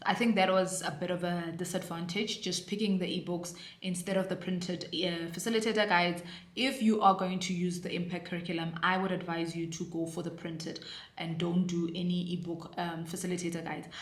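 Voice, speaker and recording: South African mom, 30s, lowish voice, chatty and earnest, echoey room. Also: female voice, level tone, brisk. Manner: chatty, earnest, with frequent fillers and restarts. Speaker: homeschool mom reviewing a curriculum. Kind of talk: casual review to camera.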